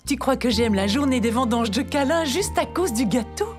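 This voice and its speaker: A gracious mother pony queen for a French cartoon. Her voice is high and swings expressively, reassuring and affectionate in a regal way. Music plays behind her. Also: lively intonation, normal speed. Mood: tender, reassuring.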